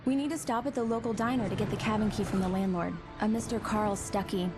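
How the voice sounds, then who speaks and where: Actress as loving, playful wife for a story game: mid-pitched, encouraging, prominent score underneath. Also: female voice, fairly even intonation, fast talker, lightly acted. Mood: affectionate, teasing. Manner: gentle, teasing, encouraging. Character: affectionate, upbeat wife of a writer. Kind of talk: cinematic game dialogue. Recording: loud music behind the dialogue.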